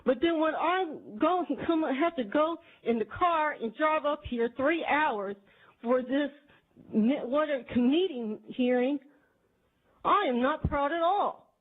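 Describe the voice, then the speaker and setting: A woman in her forties with a Houston accent speaks in a high, insistent voice. She testifies at a committee hearing with indignant, repetitive emphasis. The sound is narrow and tinny, as though it came over a phone line. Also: takes it slowly. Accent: Texan. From Houston, Texas. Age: 40s. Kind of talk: public testimony. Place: legislative committee hearing room. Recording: tinny, phone-like archive audio.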